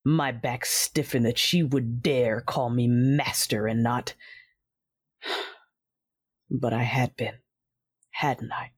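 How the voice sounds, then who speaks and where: A woman voices a proud female Sith warrior in a sci-fi audio drama, narrating in the first person. Her voice is husky and deliberate, cold and controlled. The sound is dry and close. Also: a bass voice, fairly even intonation, no echo, heavily acted.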